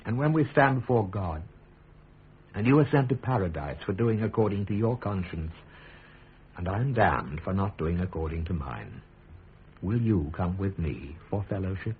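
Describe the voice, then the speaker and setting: A calm, principled prisoner in a radio drama, low measured voice, narrow tinny sound. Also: male voice, breathy edge, full character voice. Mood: grave, composed. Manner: measured, articulate. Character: principled, composed, dryly witty imprisoned statesman. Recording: narrow, noisy radio recording.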